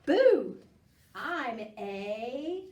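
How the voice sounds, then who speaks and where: A woman's voice: fairly high pitch, very animated, heavily acted. Woman playing theatrical, swooping storybook Halloween creature. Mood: playful spooky. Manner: theatrical, drawn-out, with loud exclamations. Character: playful spooky Halloween creatures from a picture book. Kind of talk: children's picture-book character reading. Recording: roomy echo, distant microphone.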